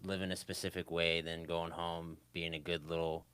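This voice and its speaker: A man from the Atlanta area chatting casually as a podcast guest, informal and full of pauses. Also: sits low, little pitch movement, a bit bright, smooth, no room sound. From Atlanta area, Georgia, USA. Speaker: podcast guest, music producer. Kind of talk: casual chat about his life.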